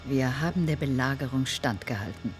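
A woman's voice: very deep voice, fairly quick, soft background music. An actress playing a melancholy, haunted woman in a radio drama, poetic, brooding and trance-like. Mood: haunted, dreamy.

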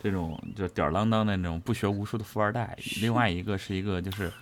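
A man's voice: fairly low pitch, steady tone, fast talker, slight background noise. A man hosting a listening session, chatting casually as he comments live. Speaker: host of audio drama listening session.

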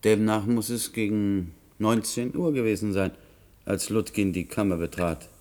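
An actor playing a sceptical male public prosecutor, formal, measured and pointed, in a German radio play. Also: lowish voice, warm tone, natural voice. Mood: questioning.

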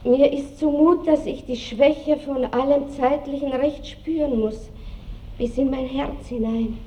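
A woman's voice: sits high, fairly even intonation, a little slow, warm tone, rough recording. An actress playing a melancholy, tender aristocratic woman musing on time in an Austrian accent, wistful and lilting, in a classic radio drama. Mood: resigned. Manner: wistful, musing, lilting old-fashioned speech. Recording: faint hiss of old radio recording.

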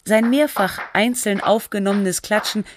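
A woman's voice: medium pitch, moderate pace, background noise, natural voice. A woman playing a sober, literary narrator and researcher in scripted documentary-style radio drama. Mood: measured, factual.

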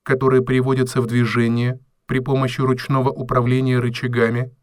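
Man voicing a formal, deadpan household robot, dry sound. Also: mid-range voice, brisk, dry recording, natural voice. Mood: polite, impassive. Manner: formal, precise, literal, deadpan. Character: polite, emotionless male household robot. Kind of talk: audio drama acting.

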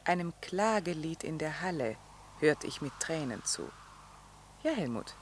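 An actress playing a middle-aged countess and worried mother in a radio play, formal, measured and well-bred. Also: deep voice, big pitch swings, a little hiss or hum. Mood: gently reproachful. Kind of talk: German radio play acting.

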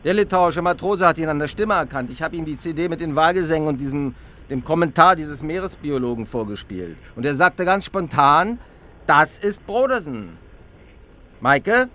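A man's voice: on the high side, big pitch swings, moderate pace. A man playing a probing, dry-mannered police inspector in a radio drama. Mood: eager. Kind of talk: radio drama acting and narration. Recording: loud vehicle noise behind.

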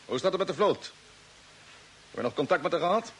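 An actor playing a determined expedition commander in an old radio drama, with a commanding, earnest manner. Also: male voice, on the high side, expressive, a little fast, a bit bright. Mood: firm, sober. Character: determined male space expedition commander on a Mars mission. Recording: old radio recording with faint hiss.

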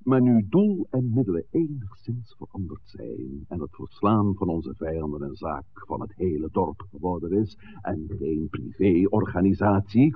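A man playing a suave, scheming village pastor in a 1960s radio drama, speaking in formal, measured, courteous sentences. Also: low voice. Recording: muffled, noisy old radio recording.

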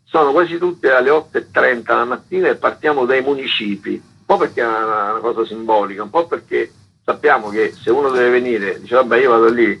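Man, gravelly, raspy, informal interview talk, rambling organiser. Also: medium pitch, fairly quick, highs cut off. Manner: rambling, colloquial. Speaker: event organiser being interviewed. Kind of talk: informal radio interview explanation.

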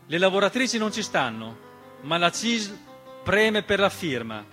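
A man performing a dramatic political theatre monologue in an emphatic, rhetorical manner with deliberate repetition. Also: sits high, big pitch swings, sharp tone. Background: piano accompaniment.

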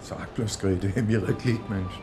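An actor playing a gruff, restless, wryly blunt police inspector with a Swabian accent in a radio drama. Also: male voice, fairly low pitch, steady tone, measured pace, music in the background, lightly acted. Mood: amused, gruff.

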